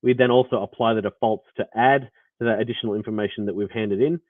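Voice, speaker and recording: A man's voice: fairly low pitch, fairly even intonation, fast, dry recording. A man with an Australian accent explaining code in a rambling live walkthrough, full of fillers and restarts. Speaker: developer presenting. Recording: tinny phone-like audio line.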